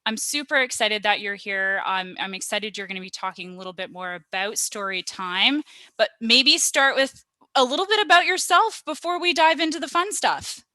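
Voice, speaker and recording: A woman's voice: fairly high pitch, moderate pace, pushed and bright, no room sound. A woman hosting a webinar in an upbeat, gushing, enthusiastic way. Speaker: webinar host. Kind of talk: enthusiastic hosting, guest introduction.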